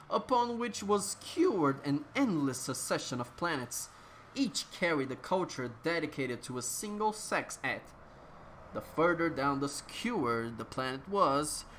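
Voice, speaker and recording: A person giving a dramatic reading, theatrical and declamatory, with emphatic outbursts and drawn-out words. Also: medium pitch, a bit bright, a little hiss or hum. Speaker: reader. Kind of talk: dramatic reading of absurd written text.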